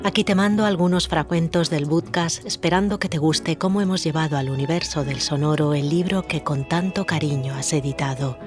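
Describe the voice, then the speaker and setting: A woman's voice: sits low, a little fast, few pauses. A woman reading a scripted, letter-style trailer narration as its writer, with music behind her.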